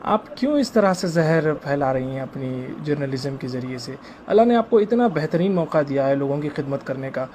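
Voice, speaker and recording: Hyderabadi guy on a phone call, highish voice, keeps pleading and scolding, bit of line noise. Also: animated, brisk, a little mellow. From India. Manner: insistent, pleading, reproachful. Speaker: caller. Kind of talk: confrontational phone conversation. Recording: noisy recorded phone call.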